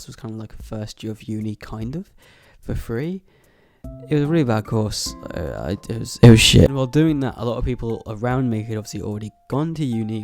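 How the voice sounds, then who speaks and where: A young man with a stuffy nose from a cold, rambling casually to camera about moving into his student flat, swearing often and laughing between thoughts. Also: lowish voice. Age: young adult. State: congested from a cold. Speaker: YouTuber. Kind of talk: casual vlog chat to camera.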